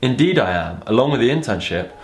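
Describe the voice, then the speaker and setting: A man's voice: medium pitch, very animated, fast, voice pushed up, natural voice. A man voicing a cheerful, quirky young British man in a mock-dramatic story read-aloud. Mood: playful, boastful. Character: cheerful, quirky young British man, self-proclaimed professional door holder. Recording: roomy echo with music behind.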